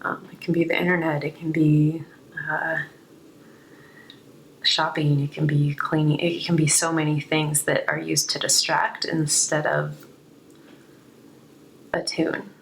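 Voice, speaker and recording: Female tarot reader, low register, a touch hoarse, reflective and unscripted delivery, some noise behind the voice. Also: flat delivery, a little slow, long pauses, rough recording. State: slightly hoarse. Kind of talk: unscripted spiritual card reading, reflective.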